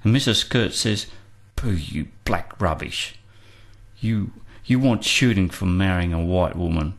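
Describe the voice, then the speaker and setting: A man's voice: deep voice, measured pace, vocal fry. A male actor plays an aggrieved man on trial in a radio play. He recounts his story in plain, colloquial words, like someone unschooled setting out his own side. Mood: aggrieved, agitated.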